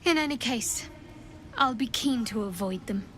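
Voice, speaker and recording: An actress playing a reserved young cleric who speaks in a dry, wry way. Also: female voice, a little high, expressive, normal speed, a bit bright, a little hiss or hum. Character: guarded young female cleric companion.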